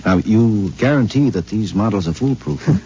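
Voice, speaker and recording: An actor playing a nervous, henpecked middle-aged husband who stammers and hesitates, in a 1950s radio drama. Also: male voice, lowish voice, expressive, normal speed, gravelly, mellow, slight background noise. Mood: anxious. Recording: dull old radio broadcast recording.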